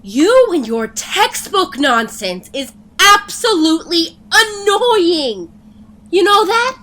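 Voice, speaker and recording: A woman's voice: extremely high pitch, sing-song, slow, pushed and bright, heavily acted. A woman playing a hot-tempered, scornful treasure hunter in an audio drama, with a snappish, bossy delivery. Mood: irritated.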